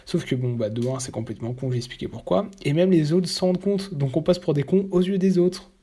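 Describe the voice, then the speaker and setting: A man giving casual, blunt, opinionated commentary, talking haltingly with many fillers and restarts. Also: a little high, warm tone, breathy edge.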